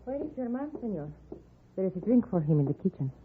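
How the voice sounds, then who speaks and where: A woman's voice: medium pitch, sing-song, a little hiss or hum, lightly acted. A woman playing a proud, composed widow, speaking formally in an old radio western. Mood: cool, controlled. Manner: measured, formal, restrained. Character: composed, proud Mexican widow, quietly bitter. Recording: muffled narrow-band old radio.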